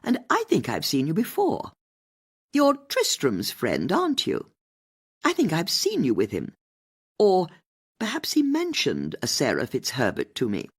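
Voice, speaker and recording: A woman's voice: deep voice, huge pitch swings, measured pace, breathy edge, pitched above the performer's own voice, heavily acted. A woman as a shrewd, swooping older English lady sleuth. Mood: artfully casual. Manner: polite, probing, artfully casual.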